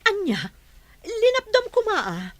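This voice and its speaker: A woman's voice: very high voice, huge pitch swings, slow, dry recording, exaggerated voice. A woman playing an anxious, caring middle-aged mother in an audio drama.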